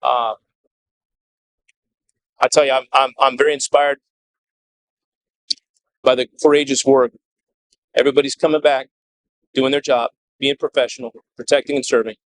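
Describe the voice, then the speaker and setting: A man speaking as a police chief at a press briefing, earnest and measured, with a halting delivery as he gives a statement and answers questions. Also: medium pitch, little pitch movement, low-quality recording.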